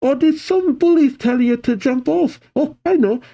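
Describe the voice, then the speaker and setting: Man putting on a high, brash young girl. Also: normal speed, vocal fry, mellow. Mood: excited, blurting. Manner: pushy, overexcited.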